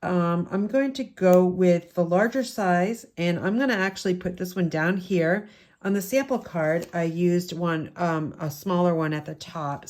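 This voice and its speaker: A woman with a slightly low, raspy voice, still getting over a head cold. She talks casually and explains each step of a craft tutorial as she works. She is from the United States. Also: a little mellow. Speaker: card-making instructor. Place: home craft room with open windows.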